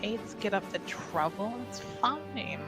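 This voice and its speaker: A woman's voice: mid-range voice, expressive, slow pace, a bit bright, soft background music. A woman playing a tabletop roleplaying game, casually improvising narration about her character.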